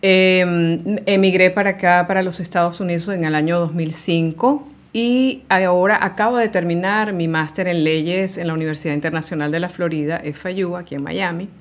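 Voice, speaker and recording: Woman podcast co-host, Venezuelan accent, fairly low voice, relaxed with fillers. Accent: Venezuelan Spanish. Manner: relaxed, many fillers and pauses. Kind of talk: casual podcast conversation.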